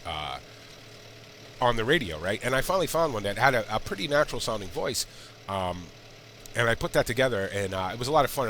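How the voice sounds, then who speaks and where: A man with a congested, sick-sounding voice, chatting in a rambling way while he plays and comments on a farming game. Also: on the low side. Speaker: gaming channel host. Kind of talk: casual let's-play commentary. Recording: loud game engine noise underneath.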